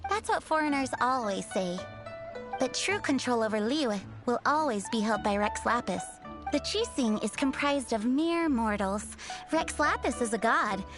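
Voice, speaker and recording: A woman's voice: a little high, lively intonation, normal speed, over music, lightly acted. A woman playing a proud, devout townswoman in a fantasy video game, speaking with assured, lecturing manner. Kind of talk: scripted video game dialogue.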